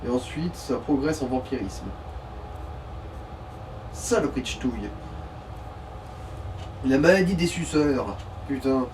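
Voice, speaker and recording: A man's voice: mid-range voice, lively intonation, slow pace, long pauses. A man chatting casually over a video game as a let's player, with crude, slangy joking. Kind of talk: casual gaming chat. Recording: distant mic with clear noise.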